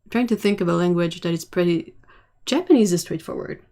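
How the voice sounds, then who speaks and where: A woman hosting a casual livestream, chatting while she paints and answering viewer comments, sometimes dropping to a soft, quiet voice. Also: fairly low pitch, fairly quick. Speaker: livestream host.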